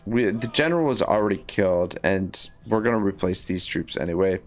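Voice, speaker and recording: A man's voice: low-pitched, expressive, brisk, faint music behind. A man with a scratchy voice giving rambling, casual commentary while livestreaming a strategy game, with many fillers and restarts. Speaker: livestream gamer.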